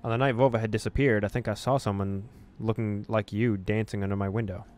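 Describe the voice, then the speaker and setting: A man's voice: on the low side, fairly even intonation, fast talker, darkish tone, faint music behind. A man hosting a gaming video, reading on-screen story text aloud in a dramatic way with casual reactions.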